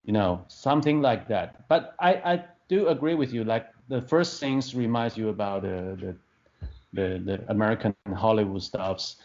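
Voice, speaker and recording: A man's voice: fairly low pitch, normal speed, darkish tone. A man in his fifties from Taiwan, speaking English as an in an interview, hesitant, with many restarts and fillers as he searches for words. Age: 50s. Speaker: film director. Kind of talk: interview answers. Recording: dull lo-fi podcast audio.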